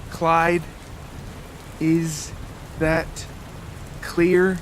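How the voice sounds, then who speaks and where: A man acts out a firm, threatening neighbor man from a story podcast. He speaks in slow, emphatic phrases at a slightly high pitch. Faint hiss and rain sit behind him. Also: flat delivery. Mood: stern, threatening.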